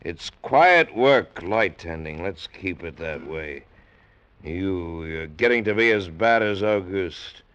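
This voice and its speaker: Radio drama role: surly, taciturn head keeper, a big man, very slow delivery, muffled vintage sound. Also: sits low, animated, a bit bright, clear, resonant tone, exaggerated voice. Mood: surly, curt. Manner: curt, unfriendly. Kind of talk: old radio drama acting. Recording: dull vintage radio recording.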